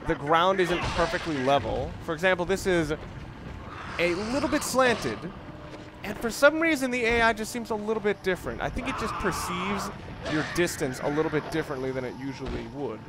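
Man, slightly high voice, halting analytical let's play commentary, clear background noise from game audio. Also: lively intonation. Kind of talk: unscripted gameplay commentary. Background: video game sounds and music.

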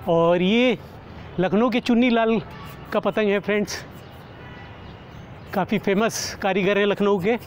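A middle-aged man speaks in a notably high voice, explaining things casually as a vlogger would. He addresses his viewers as friends. He is recorded outdoors on a lapel mic, with faint urban and traffic noise behind him. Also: fairly even intonation, unhurried, pauses often. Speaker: kite flyer presenting his vlog. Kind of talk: casual vlog commentary. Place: outdoors on an open rooftop. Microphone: clip-on lapel microphone. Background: faint outdoor city and vehicle noise.

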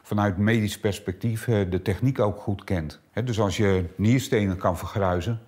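Low-voiced middle-aged man, halting explanations. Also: darkish tone. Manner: hesitant, many filler sounds. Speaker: clinic owner. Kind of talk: explanatory interview answers. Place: small treatment room indoors.

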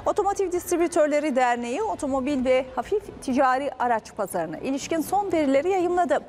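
A young woman reading business news as a studio anchor, in a crisp, measured newsreader delivery. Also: high-pitched, darkish tone, slight background noise.

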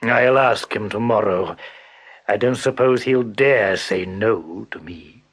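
A man voicing a sly, purring tiger, low and slowly whispered, with a husky edge. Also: exaggerated voice. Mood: growling confident.

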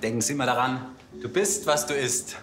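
An actor playing an instructive, health-minded nutrition coach in a TV crime comedy. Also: male voice, medium pitch, moderate pace, close to an everyday voice. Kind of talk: comedy crime series acting. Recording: echoey room with background music.

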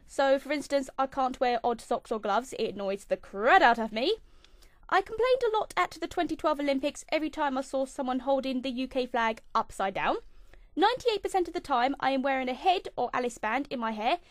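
A young woman with an English accent talks through a scripted list about herself. Her voice sits high and swings up and down, bright one moment and then a low mutter the next. It is recorded dry and close on a home computer mic. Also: dry recording. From England. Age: 20s. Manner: chirpy, bouncy delivery with sudden dips into a low mutter. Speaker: channel host. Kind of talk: scripted fifty-facts vlog.

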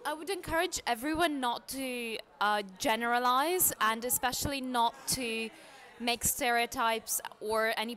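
A woman's voice: a little high, a bit bright. A young woman from Russia speaking earnestly to camera through an earbud mic. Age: young adult. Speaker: interviewee. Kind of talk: casual speech to camera. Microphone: earbud headset mic.

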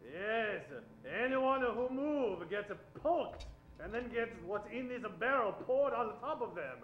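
A man playing a pompous detective general with a theatrical, deliberate delivery in an old-time radio comedy. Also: extremely high pitch, animated, dull audio, heavily acted. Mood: accusing. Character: pompous detective general solving a murder mystery. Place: small theater stage. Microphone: shared vintage-style stand microphone. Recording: roomy live theater stage.

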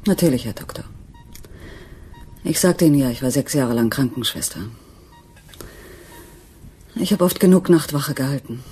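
An actress in a German radio play, playing an anxious, brooding wife and former nurse in a breathy, hushed voice. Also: female voice, a bass voice, moderate pace, pauses often. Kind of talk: German radio drama acting.

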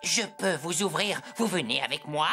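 A scheming pet cat from a children's cartoon. The delivery is grumbling and theatrical, with lively swings of pitch. Music plays clearly behind it. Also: female voice, normal speed. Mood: pleading.

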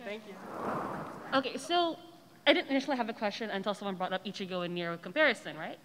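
A woman's voice: mid-range voice, lively intonation, fast talker, fairly bright tone. A woman asking a casual fan question from the audience, rambling, hesitant and apologetic. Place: large indoor convention panel room. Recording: echoey hall, long reverb.

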